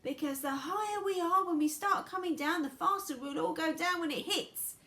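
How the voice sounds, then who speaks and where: A woman voicing a brisk, supremely confident, eccentric gentleman inventor from a storybook, with an emphatic, declamatory manner. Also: very high-pitched, roomy sound, voice pushed up, full character voice. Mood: insistent.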